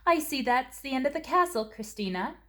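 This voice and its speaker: This is a storybook mother, voiced by a woman reading aloud. She is patient but firm, brisk and conclusive, with her pitch lifted high. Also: lively intonation, pushed and bright. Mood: cheerfully final.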